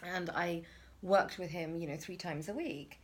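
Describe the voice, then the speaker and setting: A woman's voice: on the low side, a little slow. A middle-aged woman hosting a reflective conversation, talking hesitantly with many restarts and fillers. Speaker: interview host.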